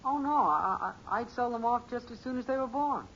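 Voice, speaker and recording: An actor playing an eager, stubborn American teenage boy in a 1950s radio sitcom, excitable, slangy and insistent. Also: very high voice, fairly quick, exaggerated voice. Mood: eager, boastful. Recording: muffled, noisy old radio recording.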